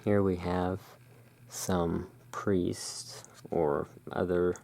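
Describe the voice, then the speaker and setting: A low-pitched, gravelly ASMR voice that moves very slowly through its descriptions. The delivery stays level and hushed, as a roleplaying shopkeeper muses over artwork. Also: steady tone. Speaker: host of a roleplay as a curiosity emporium owner. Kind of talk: ASMR roleplay, describing tarot card art.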